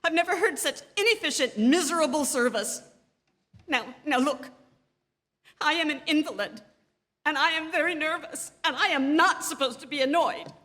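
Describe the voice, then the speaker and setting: An actress playing a nervous, demanding bedridden wife in a theatrical radio suspense drama, querulous and melodramatic. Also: female voice, sits high, moderate pace, pushed and bright. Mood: indignant, irritable. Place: theatre stage. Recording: live theatre stage, long hall reverb.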